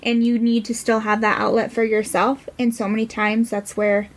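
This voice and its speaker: Female podcast guest. Plain-spoken and informal, gravelly fry, little pitch movement. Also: flat delivery, normal speed. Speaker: podcast guest from a support non-profit. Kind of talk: conversational interview talk.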